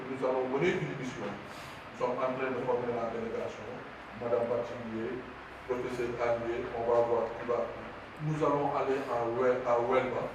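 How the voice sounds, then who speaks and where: A man gives an unscripted formal public address as the head of a training institute. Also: mid-range voice. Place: institute hall at a public ceremony. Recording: echoey, noisy hall recorded from far off.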